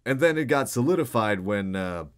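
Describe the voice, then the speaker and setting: A man's voice: mid-range voice, expressive, normal speed. A man talking casually and informally about his voice acting work, in an easygoing, self-effacing way. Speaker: voice actor. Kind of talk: casual Q&A talk.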